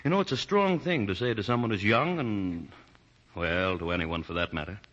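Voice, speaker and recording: Low, kindly male doctor. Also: lively intonation, moderate pace. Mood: grave, concerned. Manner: hesitant, gentle. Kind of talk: 1940s radio acting. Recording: dull vintage 1940 radio recording.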